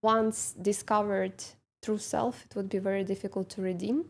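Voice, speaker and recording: A woman guest in a conversation, speaking in hesitant, halting phrases. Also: mid-range voice, flat delivery. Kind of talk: conversational chat.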